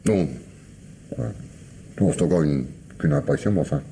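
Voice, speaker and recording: An actor playing a shrewd, suspicious police commissioner in an old French radio mystery, questioning and musing aloud with pointed pauses. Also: male voice, very deep voice, warm tone. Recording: noisy old radio recording with ambience.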